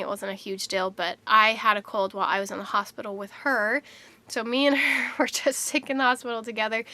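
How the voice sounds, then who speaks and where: Woman, vlogger, slightly nasal and congested, chatty and candid, quite bright tone. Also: fairly high pitch, dry recording. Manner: chatty, candid, laughs often. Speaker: vlogger, mother of three. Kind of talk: casual vlog talk to camera.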